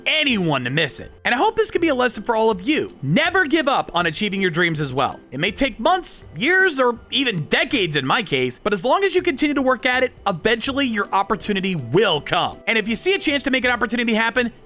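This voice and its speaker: Male host, high bright voice, earnest and encouraging commentary, music underneath. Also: wildly swooping pitch, fast, hardly any pauses. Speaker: video host and aspiring voice actor. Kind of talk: scripted commentary to camera or microphone. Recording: music behind the voice. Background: background music.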